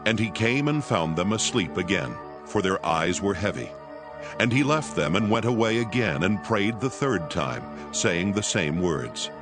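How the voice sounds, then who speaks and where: A man with a fairly low voice narrates a dramatized scripture. His delivery is solemn and scripted, with music playing clearly behind him. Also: animated, a little slow. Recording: background music under the voice.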